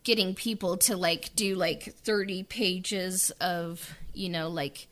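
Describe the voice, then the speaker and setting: A woman's voice: on the low side, fairly even intonation, slow, fairly bright tone. A woman who wrote and produced an audio drama, talking casually and rambling with many filler words. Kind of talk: casual interview chat.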